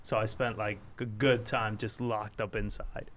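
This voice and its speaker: A man born in Argentina, a guest on a podcast, telling his story in a laid-back, slightly rough-edged way with wry humor. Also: lowish voice, flat delivery, unhurried, a bit bright, a little hiss or hum. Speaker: interview guest, real estate wholesaler. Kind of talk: casual storytelling.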